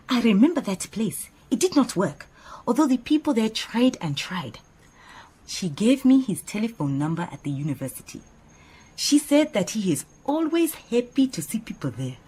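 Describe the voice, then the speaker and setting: Animated, opinionated woman assistant. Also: mid-range voice, slightly rough. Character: earnest, opinionated female detective's assistant, proud and chatty. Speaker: actor in radio drama. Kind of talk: radio drama acting.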